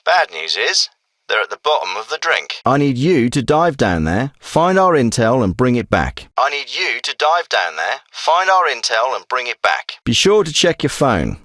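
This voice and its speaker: An actor playing a businesslike special forces commander in a military video game, speaking in a clipped, authoritative, terse way. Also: male voice, mid-range voice, very animated, normal speed, bright, forceful tone, no echo, studio quality. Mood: serious, briefing. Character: gruff, businesslike male special forces commander briefing an operative.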